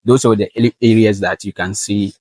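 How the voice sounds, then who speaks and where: A young man from northern Ghana with a Ghanaian accent, answering interview questions in an earnest, measured way. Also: on the low side, fairly even intonation, a little mellow, dry recording. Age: young adult. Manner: earnest and measured, with frequent fillers. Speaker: guest, valedictorian student.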